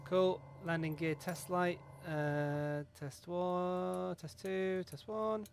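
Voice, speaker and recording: Chatty gravelly-voiced man talking flight sim. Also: sits high, steady tone, slow, slight background noise. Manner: chatty, swears, rambling, self-mocking. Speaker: flight sim video host. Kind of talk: casual talk over a flight sim checklist.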